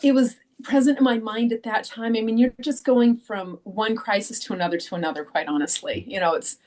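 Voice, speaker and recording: A woman's voice: on the high side, a little fast, a little mellow. Chatty woman author with frequent ums. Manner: chatty, frequent ums and restarts, laughs easily. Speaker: author guest. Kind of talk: casual interview chat.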